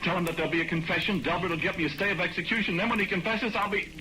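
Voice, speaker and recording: An actor playing a desperate condemned prisoner who pleads in agitated, halting outbursts, in the style of 1940s radio drama. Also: male voice, high voice, bright, forceful tone, a little hiss or hum, poor audio. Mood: stubborn, agitated. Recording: old 1940s radio recording with music.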